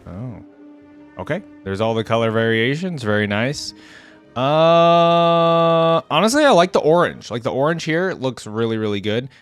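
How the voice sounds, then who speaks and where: A man giving casual, off-the-cuff reaction commentary as a video host, thinking aloud in an informal way. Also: medium pitch.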